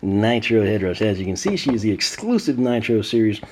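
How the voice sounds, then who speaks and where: Man, hoarse and losing his voice, low mellow tone, chatty unboxing host. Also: big pitch swings, fairly quick. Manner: chatty, frequent "uh" fillers, clears throat. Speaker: toy collector unboxing host. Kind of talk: casual unboxing commentary.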